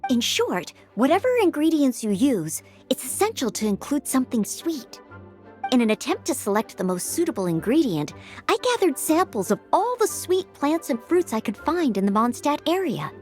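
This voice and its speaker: A woman's voice: high-pitched, big pitch swings, moderate pace, faint music behind. A woman voicing a young, dutiful maid knight in a video game, speaking in an earnest, courteous and precise way. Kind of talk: video game character acting.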